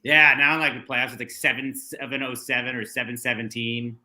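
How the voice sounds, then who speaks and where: A young man with a very bright, sharp voice co-hosts a loose sports watchalong. He rambles through his points with constant "like" and "dude", swearing casually. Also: medium pitch, steady tone, brisk. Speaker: podcast co-host.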